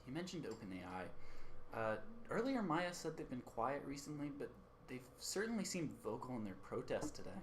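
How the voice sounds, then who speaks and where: A man's voice: mid-range voice, sing-song, moderate pace, background noise, close to an everyday voice. A man playing an earnest, suspicious radio co-host in a radio play, somewhat hesitant. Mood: measured, tentative.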